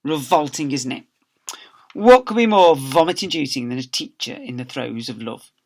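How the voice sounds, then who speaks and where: A man's voice: mid-range voice, lively intonation, normal speed. A man, a teacher, reading a storybook aloud to young children with playful, theatrical emphasis.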